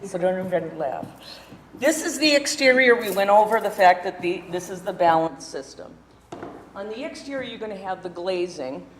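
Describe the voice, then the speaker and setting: A workshop instructor walks through a practical demonstration, naming each part and working with tools, joking at their own expense now and then. The voice sits at a middle pitch and carries a long hall reverb with faint background noise, recorded live in the room as the speaker moves about. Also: expressive, normal speed. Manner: practical, step-by-step explaining while handling tools; chatty with self-deprecating asides. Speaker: window restoration instructor. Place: indoor workshop room before an audience.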